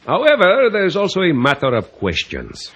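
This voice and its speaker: An actor playing a courtly, mock-sorrowful Cuban police official in an old-time radio drama, florid and formal, with a Cuban Spanish accent. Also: male voice, a little high, wildly swooping pitch, moderate pace, a bit bright, breathy edge, dull audio, heavily acted. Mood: courtly probing. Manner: florid, overly polite, formal.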